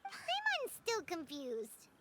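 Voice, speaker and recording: A woman voicing a tiny, childlike, excitable and easily confused fairy companion in a video game, with a squeaky cartoonish delivery. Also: slow pace, heavy background noise, heavily acted. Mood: puzzled. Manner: speaks of self in third person, exclaiming.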